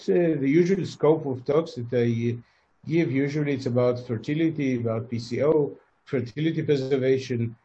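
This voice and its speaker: An Israeli-accented man delivers a formal medical lecture. His tone is mellow and soft-edged, and his delivery is measured, with frequent hesitations. The sound is slightly dull. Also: medium pitch. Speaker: fertility doctor, conference lecturer.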